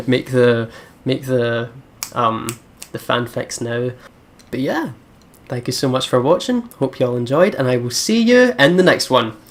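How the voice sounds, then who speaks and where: A let's play host commenting on a game in an animated, informal way, often repeating words. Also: medium pitch. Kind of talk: game commentary and dramatic read-aloud of narration.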